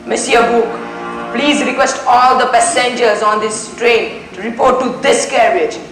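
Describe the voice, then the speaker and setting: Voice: mid-range voice, natural voice. Young student playing a methodical detective on an echoey stage. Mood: resolute. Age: teenager. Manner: deliberate, questioning, formal, with French courtesies. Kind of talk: school stage play acting. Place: indoor school stage. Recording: echoey school stage recording with music.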